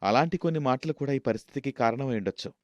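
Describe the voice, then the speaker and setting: A man's voice: mid-range voice, animated, fast talker, a little mellow, no echo, dull audio, natural voice. A man voicing an honest, deferential male employee, respectful and hesitant, in a story.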